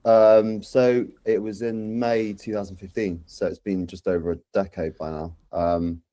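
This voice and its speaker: London-accented man, thirties, low pitch, soft dark tone, casual podcast guest. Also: little pitch movement, measured pace, no echo. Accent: London English. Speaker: podcast guest, social media and community manager. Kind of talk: casual chat.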